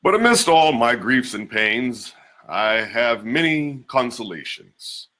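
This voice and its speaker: A man giving a measured, deliberate dramatic reading of an old first-person memoir. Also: mid-range voice, lively intonation, bright, forceful tone. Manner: measured, deliberate delivery with slowed emphases. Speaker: reader.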